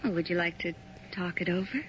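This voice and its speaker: A woman's voice: lowish voice, a bit bright, natural voice. An actress playing a mysterious, seductive woman on the phone, coy and half-whispered, in a 1980s radio drama. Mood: teasing. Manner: coy, teasing, drawn-out phrasing. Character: sultry mysterious woman caller, teasing, seductive. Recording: muffled old radio recording with hiss.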